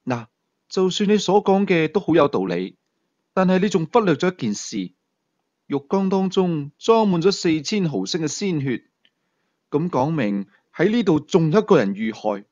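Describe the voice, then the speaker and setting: A stern police commander in a suspense audiobook, acted out by a man with a Hong Kong Cantonese accent. The delivery is earnest, insistent and argumentative, with lively pitch. The recording is dry and a little muffled. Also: moderate pace, no room sound. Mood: serious, challenging.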